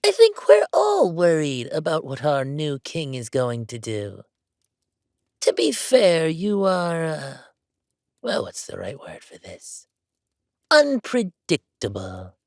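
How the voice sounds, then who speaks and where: Haughty nobleman count: raised, animated pitch, pompous formal diction, blunt condescension, fantasy audio drama. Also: slow, creaky, dry recording, studio quality, full character voice. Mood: wary, pointed. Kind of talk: villain roleplay audio drama.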